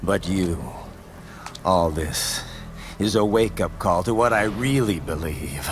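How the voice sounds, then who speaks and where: An actor playing a grim cyborg swordsman in a video game, a born killer, with a gravelly, breathy growl and slow, menacing delivery. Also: deep voice, lively intonation, noisy background. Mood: dark confession. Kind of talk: dramatic video game cutscene acting.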